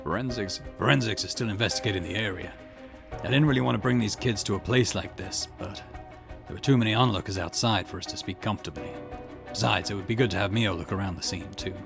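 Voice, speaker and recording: A man voicing a gruff middle-aged police detective who speaks in a low, steady way. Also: fast talker, over music.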